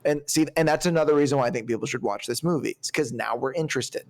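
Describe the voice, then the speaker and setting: A man's voice: medium pitch, fast talker. A young man co-hosting a casual movie podcast, talking in a loose, rambling way with many restarts. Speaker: podcast co-host.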